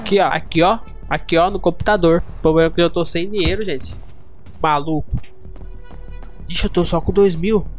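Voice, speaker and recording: A young Brazilian man streams a game in casual chatter. His voice sits high for a man, picked up on a close microphone with faint music behind. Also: normal speed. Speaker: streamer playing a roleplay game. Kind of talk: casual gameplay chat.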